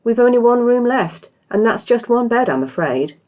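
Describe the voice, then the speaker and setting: A woman reader acts out a cheery British innkeeper woman from a fantasy storybook. She pitches up high and lively, all polite professional brightness and innocent scheming. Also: moderate pace, natural voice. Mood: bright, guileless.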